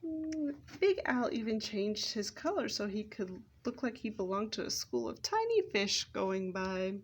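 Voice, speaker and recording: A woman's voice: mid-range voice, big pitch swings, unhurried, slightly rough. A woman narrating a children's story aloud from a script, expressively, with the odd stumble over the text. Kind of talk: scripted read-aloud narration for readers theater.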